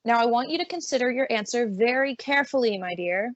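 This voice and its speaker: A woman playing a kindly, formal courtroom judge with a measured manner in an old-time radio drama. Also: a little high, animated, no echo, dull audio, close to an everyday voice.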